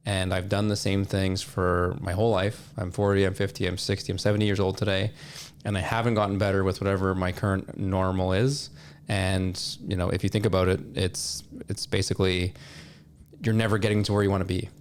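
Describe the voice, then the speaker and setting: A man explaining his points in a measured, conversational way as a podcast guest. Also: sits low, level tone, slight background noise. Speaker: podcast guest, supplement industry representative.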